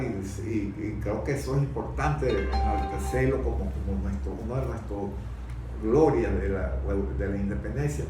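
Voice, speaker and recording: Hesitant male debater, mid-pitched, repeating words, distant from the mic in a very echoey space. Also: mellow. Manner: halting, repeats words while searching for phrasing. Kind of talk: conversational political-historical debate. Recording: very echoey room, distant mic, faint noise.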